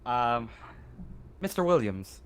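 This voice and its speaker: A man's voice: mid-range voice, very animated, slow, fairly bright tone. A man acting as game master, talking casually with players during a tabletop roleplaying session.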